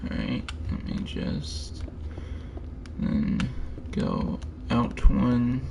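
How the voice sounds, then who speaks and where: A man's voice: medium pitch, wildly swooping pitch, drawn-out words, gravelly, noisy background. A man giving casual gameplay commentary, thinking aloud and muttering to himself in short fragments. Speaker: streamer.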